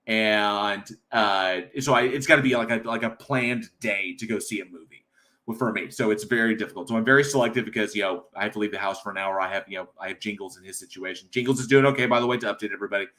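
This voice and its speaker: A man's voice: lowish voice, fast, bright, forceful tone. An American man hosting a casual livestream, rambling with many fillers and reading chat aloud from home. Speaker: livestream host.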